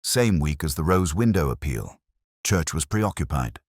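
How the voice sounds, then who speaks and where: A man's voice: very deep voice, big pitch swings, normal speed, dry recording, studio quality. A man reading scripted narration for a detective mystery story.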